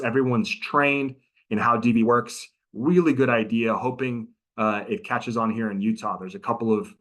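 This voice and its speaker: A man's voice: lowish voice, fairly bright tone. A middle-aged man giving a casual, chatty training presentation over a video call as a prosecutor and trainer. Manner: casual, chatty, interactive with audience.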